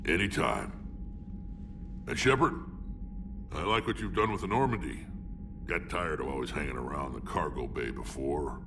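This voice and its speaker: A battle-hardened alien warlord from a video game, with a very low, gravel-filled growl. He is blunt, proud and sardonic. The sound is a little distant, over clear background ship noise. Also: animated, normal speed, pauses often. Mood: fond, wry. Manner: blunt, sardonic, weighty delivery. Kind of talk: video game character acting.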